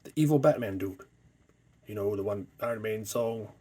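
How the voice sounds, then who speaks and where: A man's voice: fairly low pitch, moderate pace, dark tone, husky. A man voicing a blunt, boastful demon samurai creature in an improvised toy skit. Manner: blunt, brushes off questions.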